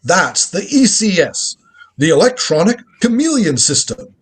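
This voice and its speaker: A crotchety old inventor, acted by a man in a radio play, proud and imperious. The voice is high and husky, with theatrical swings in pitch as he lectures like a grand old grandfather. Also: very animated, unhurried, exaggerated voice. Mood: proud, lecturing. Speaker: lead actor in a live script reading. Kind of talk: live reading of a radio drama script.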